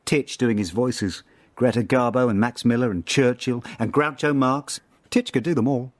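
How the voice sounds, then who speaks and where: A man's voice: mid-range voice, normal speed, no room sound, close to an everyday voice. An actor playing a reflective man narrating his childhood in a radio drama, in wry, incantatory lists. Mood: wry, nostalgic.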